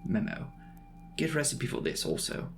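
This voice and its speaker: A man's voice: medium pitch, very animated, normal speed, creaky, a bit bright. A man voicing an earnest, inquisitive young traveller reading out his journal in a precise, matter-of-fact way for a dramatic audiobook. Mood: earnest, curious. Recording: music behind the voice.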